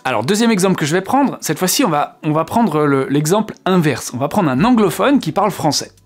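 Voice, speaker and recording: A man's voice: a little high, lively intonation, brisk, soft background music. Chatty French-speaking male teacher. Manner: chatty, asks the viewer questions, many fillers. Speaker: English teacher, channel host. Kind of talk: casual video lesson.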